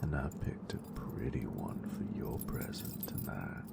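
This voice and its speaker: Very deep, gravelly cocky bully boyfriend, acted by a man. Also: animated, a little slow, exaggerated voice. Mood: low, possessive. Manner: teasing, slangy, murmuring, often dropping toward a whisper. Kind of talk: intimate romantic audio roleplay monologue. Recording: noticeable background noise.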